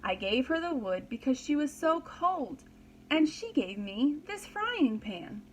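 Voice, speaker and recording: A caring, eager big sister from a children's storybook, played by a woman reader. She is a polite, earnest girl with a fairly high voice and unhurried delivery. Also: sing-song, a little hiss or hum, poor audio.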